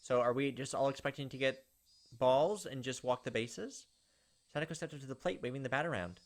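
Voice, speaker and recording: A man's voice: mid-range voice, a little fast. A man hosting a gameplay video, reading a visual novel aloud as dramatized, playful narration.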